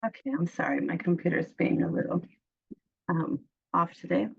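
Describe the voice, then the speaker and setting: A woman from Puerto Rico, a midwife, introducing herself casually and haltingly on an online call. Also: fairly low pitch, vocal fry, breathy edge, dull audio. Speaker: midwife, panelist.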